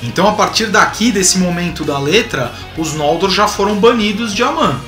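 A man's voice: high-pitched, moderate pace. A man in his forties with a Brazilian accent, giving casual, colloquial explanatory commentary as a video host. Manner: animated, colloquial, rhetorical asides. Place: small indoor home room with bookshelves. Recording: roomy echo, music behind.

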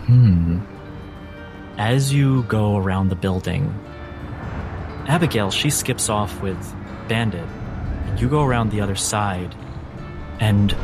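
Low-voiced man narrating a tabletop game. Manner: measured, descriptive, with dramatic pauses. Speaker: game master. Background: music.

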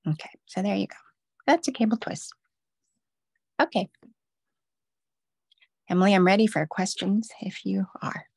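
A woman's voice: medium pitch, moderate pace, gravelly, long pauses, darkish tone, breathy edge, no room sound, studio quality. A woman patiently walking viewers step by step through knitting stitches in a live online demo. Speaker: knitting instructor.

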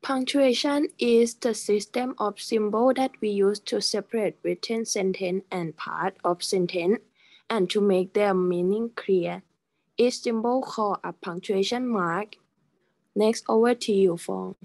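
A woman's voice: medium pitch, measured pace, a little mellow, no room sound. A young adult woman, a student, opening a scripted class presentation.

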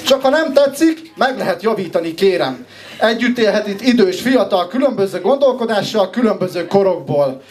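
A man in his twenties from Hungary performing rhythmic, rhyming slam poetry with a wry, ironic delivery and pauses before his punchlines. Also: high-pitched, expressive, darkish tone. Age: 20s. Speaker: slam poet, contestant.

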